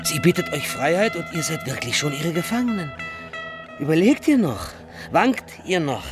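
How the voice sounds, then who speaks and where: A proud robber captain with a fairly high, very animated voice. His delivery is rhetorical and impassioned, as in a classical radio play. Music runs clearly behind him. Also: normal speed. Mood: challenging. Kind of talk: German radio drama acting.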